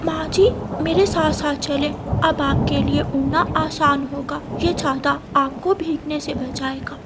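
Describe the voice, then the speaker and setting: A woman's voice: high-pitched, fairly even intonation, measured pace, heavy background noise, voice pushed up. A woman voicing an earnest, courteous little boy bird in a children's cartoon story. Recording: loud rain and thunder behind.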